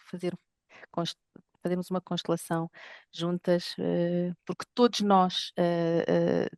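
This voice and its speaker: Portuguese lady hosting an interview on a video call, polite, formal, even and flat voice. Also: lowish voice, smooth, dry recording, clean studio sound. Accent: European Portuguese. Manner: courteous, formal, fond of the guest. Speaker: host. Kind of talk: interview host chat.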